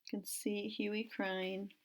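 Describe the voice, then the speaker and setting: A woman's voice: mid-range voice, flat delivery, slow. A woman reading a children's story aloud in a narrating style. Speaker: storybook reader.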